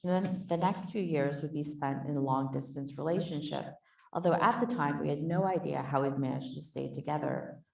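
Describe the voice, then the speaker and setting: A woman author in a panel conversation, thoughtful and soft-spoken, reading from her book and talking about it. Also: sits low, normal speed, vocal fry, far from the mic. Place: conference hall. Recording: echoey hall, voice from off mic.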